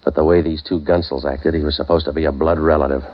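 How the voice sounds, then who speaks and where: Hardboiled waterfront detective, 1940s radio noir: very low voice, dry wisecracks, dull vintage recording. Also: male voice, quick pace. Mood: wry narrating. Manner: sardonic, deadpan, clipped wisecracks. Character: cynical wisecracking waterfront private eye for hire. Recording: muffled old radio broadcast, music behind.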